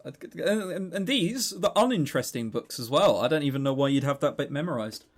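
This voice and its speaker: Higher-voiced man, halting and jokey. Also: expressive. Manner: halting, stammering repetitions. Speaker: podcast co-host. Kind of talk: casual chat.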